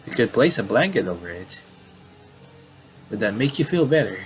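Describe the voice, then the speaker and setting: Guy doing chill matter of fact brother from horror game, low voice, bit noisy. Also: sing-song, normal speed. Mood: unbothered. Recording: clearly noisy home recording.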